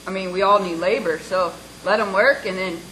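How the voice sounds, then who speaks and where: A woman's voice: medium pitch, a little fast, fairly bright tone, slight background noise, rough recording. Blunt, encouraging woman panelist. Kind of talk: panel discussion.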